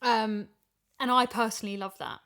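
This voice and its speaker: A woman's voice: fairly high pitch, fairly even intonation, normal speed, a bit bright. A woman in a casual podcast chat, talking emphatically and repeating phrases for stress as a voice actor discussing an audio drama.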